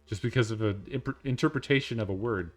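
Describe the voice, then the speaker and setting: Male streamer, deep and monotone, speaks slowly, casual chat over light background music. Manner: monotone, unhurried talk. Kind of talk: casual chat while playing a game.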